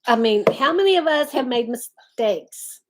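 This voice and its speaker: A woman's voice: on the high side, lively intonation, normal speed. A middle-aged woman hosting a casual live chat, folksy and effusive as she addresses viewers directly.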